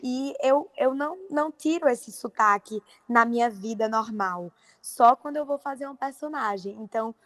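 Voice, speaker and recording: A Brazilian woman, an actress, talking in an interview with a Brazilian Portuguese accent, lively and expressive. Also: on the high side, moderate pace, few pauses, no room sound. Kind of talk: interview answers.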